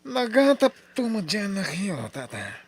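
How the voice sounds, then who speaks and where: An actor with an Ilocano accent playing an eager young suitor in an old-style radio drama. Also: male voice, sits high, very animated, a little slow, breathy edge, no room sound, full character voice. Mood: pleased. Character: eager young male suitor visiting his sweetheart's father.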